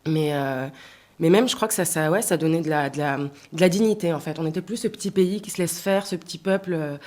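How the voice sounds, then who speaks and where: A woman in her thirties, from Tunisia, speaks with a slightly low voice. She answers interview questions with care, often pausing to hunt for words and restarting her sentences. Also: darkish tone. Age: 30s. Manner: thoughtful, many hesitations and restarts. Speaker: singer-songwriter guest.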